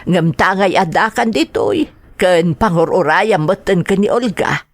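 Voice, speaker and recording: A woman playing a cautious mother of a grown daughter, questioning in a measured way with an Ilocano accent in a radio drama. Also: fairly low pitch, animated, dry recording, clean studio sound. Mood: wary, probing. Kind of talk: Filipino radio drama acting.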